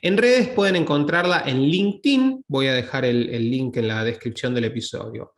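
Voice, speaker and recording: A man's voice: mid-range voice, brisk, echoey room. A man with a Latin American Spanish accent hosts a podcast, introducing his guest and asking questions with some hesitant, repeated words. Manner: hesitant, repeats words when switching to English. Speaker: podcast host. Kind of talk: podcast interview.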